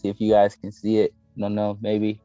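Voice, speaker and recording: A man chatting casually as a gaming show host, slangy and opinionated, given to excitable interjections. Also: lowish voice, normal speed. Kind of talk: casual opinionated chat about video game movies. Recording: dull, echoey room, faint music behind.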